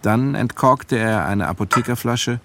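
Ein Schauspieler als sachlicher Erzähler einer literarischen Kriegsgeschichte im Hörspiel, gemessen und nüchtern vorgetragen. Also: male voice, mid-range voice, noisy background, natural voice. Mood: sober. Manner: measured, sober. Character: sober male narrator of a war story. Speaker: narrator. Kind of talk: literary scripted narration. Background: music.

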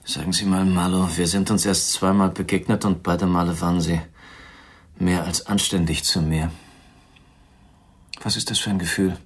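An actor playing a polite, down-on-his-luck drinker, a weary gentleman with courteous, formal manners and a husky voice, in a noir radio drama. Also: low-pitched, level tone, moderate pace, long pauses. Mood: quiet, reflective.

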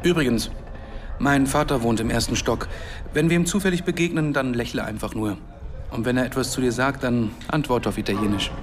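A man playing a proud, secretive, anxious filmmaker in a German radio drama, speaking in a deliberate, insistent way. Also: medium pitch, natural voice. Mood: hushed urgency. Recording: noisy outdoor street ambience with traffic.

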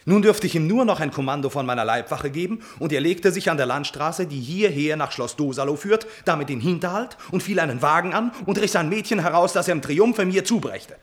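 An actor playing an impulsive, lovesick prince in a classical drama, haughty yet anxious, with a theatrical delivery. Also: male voice, a little high, animated, a little fast, hardly any pauses, close to an everyday voice. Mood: scornful, haughty. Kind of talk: German classical stage drama acting.

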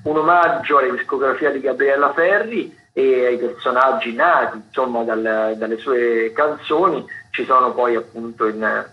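A man hosting a radio show, presenting theatre listings off the cuff from notes in a halting way, often correcting himself. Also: mid-range voice. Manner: hesitant, halting, self-correcting delivery. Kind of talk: unscripted radio commentary reading from notes. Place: radio studio. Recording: dull lo-fi radio sound, faint background noise.

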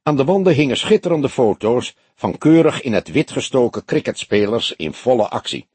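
A man's voice: medium pitch, sing-song, normal speed, a little mellow, husky, dry recording. A man reading a detective novel as an audiobook narrator, in scripted storytelling style.

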